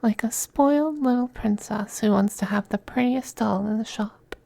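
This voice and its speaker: Male actor as femboy vampire, possessive and doting, mellow breathy half-whisper, very unhurried, close dry ASMR sound. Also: high-pitched, dry recording. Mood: possessive, tender. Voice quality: soft, breathy, often whispering. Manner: slow, coaxing, condescendingly affectionate. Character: possessive, teasing, doting femboy vampire master styled as mistress. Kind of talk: intimate ASMR roleplay.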